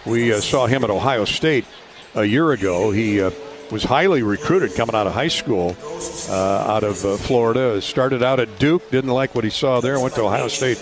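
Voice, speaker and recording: A man working as a radio play-by-play announcer, giving sports commentary in a somewhat halting way. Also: mid-range voice.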